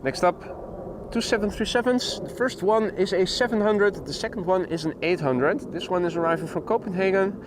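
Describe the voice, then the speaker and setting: A man's voice: sits high, normal speed, noisy background. A man with a Dutch accent hosting a live plane-spotting stream outdoors, chatting casually with viewers and laughing often. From Netherlands. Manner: chatty, laughs often, reads chat aloud. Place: outdoors at an airport.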